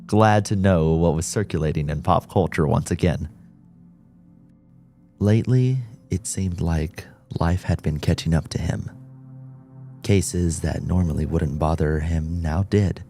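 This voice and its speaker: A man's voice: low-pitched, slow, darkish tone, soft background music. A man reading a scripted story as an audiobook narrator.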